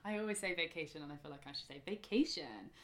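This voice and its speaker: A woman's voice: low voice, fast. A British woman hosting a podcast, chatting eagerly and laughing easily with her guest. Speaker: podcast host. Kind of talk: casual interview chat. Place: indoors in a home.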